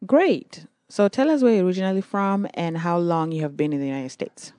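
A woman's voice: on the low side, fairly quick, dark tone, no room sound, clean studio sound. A young adult woman hosting an interview, asking polite, brief questions. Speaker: interviewer, host.

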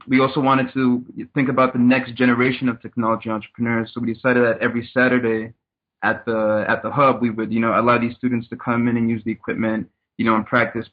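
A man from Cameroon, a startup consultant, answering interview questions in a measured way, repeating words as he thinks. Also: mid-range voice. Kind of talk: casual interview. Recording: tinny phone-like line.

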